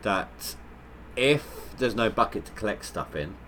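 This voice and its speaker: A man's voice: lowish voice, expressive, measured pace, a bit bright, a little hiss or hum. A man with a head cold, sounding nasal, giving rambling, stop-start commentary on his gameplay livestream. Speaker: gaming livestreamer.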